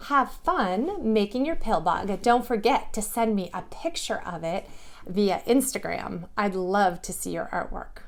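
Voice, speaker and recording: A woman teaching a drawing lesson to preschool children, guiding them gently and patiently, step by step. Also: mid-range voice, lively intonation, a little slow, creaky.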